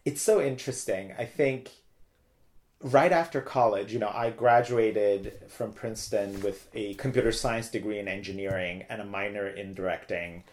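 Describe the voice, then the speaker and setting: Low-voiced, talkative man. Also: normal speed, darkish tone. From Turkey. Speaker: interview guest, theater director. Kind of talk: casual personal storytelling in an interview.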